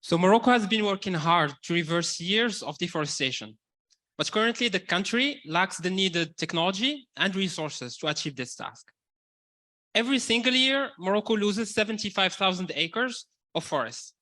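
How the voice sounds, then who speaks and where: A man giving a steady, factual part of a student team presentation. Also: sits high, normal speed.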